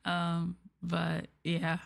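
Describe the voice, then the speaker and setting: A young Canadian woman streaming late-night chat into a close mic, her voice sitting a little low for a woman. She rambles in a relaxed, giggly way, full of fillers, and often makes fun of herself. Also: vocal fry. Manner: rambling, giggly, self-deprecating, lots of "like" and "um". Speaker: VTuber streamer.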